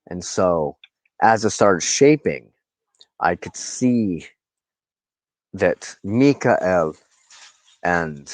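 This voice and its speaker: A man giving a rambling, informal monologue to his viewers in a halting, reflective manner, often pausing mid-sentence. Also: low-pitched, no room sound. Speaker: host of a personal spiritual channel, wand crafter.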